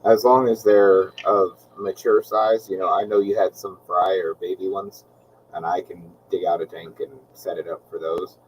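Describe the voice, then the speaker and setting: A man hosting a casual aquarium livestream, talking in a calm, relaxing, laid-back way with frequent ums. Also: low-pitched, normal speed, recorded from a distance, a little hiss or hum, poor audio. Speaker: aquarium channel host.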